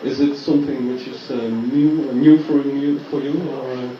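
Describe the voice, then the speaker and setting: A man's voice: mid-range voice, steady tone, moderate pace, lo-fi sound, a little hiss or hum. Hesitant man fan, far off and echoey. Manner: hesitant, many fillers. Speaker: fan asking a question. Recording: distant echoey audience tape.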